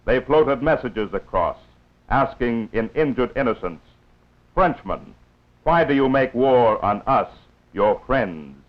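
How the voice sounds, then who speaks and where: A man's voice: mid-range voice, lively intonation, slow pace. A man narrating a 1940s wartime newsreel in a grave, oratorical, scripted style. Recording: dull lo-fi 1940s film soundtrack with music.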